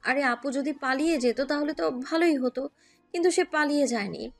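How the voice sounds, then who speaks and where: A woman's voice: high voice, fairly quick. A woman playing an earnest, exasperated young sister who pleads her way through an audio story. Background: music.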